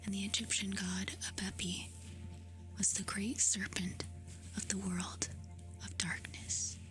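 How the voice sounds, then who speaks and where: A woman doing soft-spoken ASMR, reading facts aloud in a hushed, soothing, breathy whisper. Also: medium pitch, little pitch movement. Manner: slow, hushed, soothing delivery with short phrases. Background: music.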